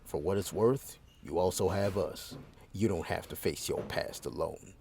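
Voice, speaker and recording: An actor playing a calm, supportive male agent who speaks in a measured, formal, gently wry way in an audio drama. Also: sits low, a little hiss or hum.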